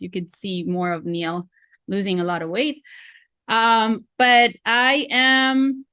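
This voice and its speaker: A woman's voice: mid-range voice, sharp tone, dry recording. A woman in her forties with a Filipino accent, chatty and self-deprecating, coaching a webinar over a video call. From Philippines. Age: 40s. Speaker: real estate coach. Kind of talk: online training webinar.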